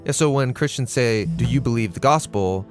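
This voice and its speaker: A man's voice: mid-range voice, normal speed. A man co-hosting an educational video in a casual, plain-spoken, conversational narration style. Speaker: co-host of an educational Bible video series. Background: background music.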